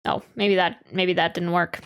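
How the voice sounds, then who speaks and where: A woman's voice: fairly low pitch, flat delivery, normal speed, clear, resonant tone. A woman streamer reacting casually and talking with her viewers in informal, slangy language. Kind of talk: casual livestream reaction chat.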